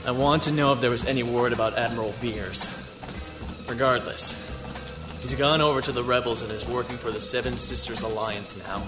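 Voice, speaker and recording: A man's voice: medium pitch, fairly even intonation, fairly quick, close to an everyday voice. A man playing an easygoing fugitive starship captain in a science fiction audio drama, with a casual, understated delivery. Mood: earnest. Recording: music bed behind the voice.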